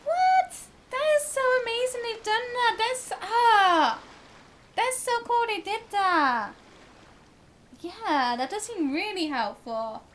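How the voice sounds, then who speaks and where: High, lively, bright-voiced woman streamer. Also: slow pace, slight background noise. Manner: giggly, excitable, bubbly. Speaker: live streamer. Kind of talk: casual game livestream chat. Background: game music.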